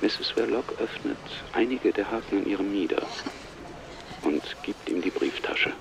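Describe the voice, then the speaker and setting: Male narrator, very deep, creaky, terse, detached, matter-of-fact, muffled radio-play sound. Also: moderate pace, noisy background. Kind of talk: scripted present-tense narration.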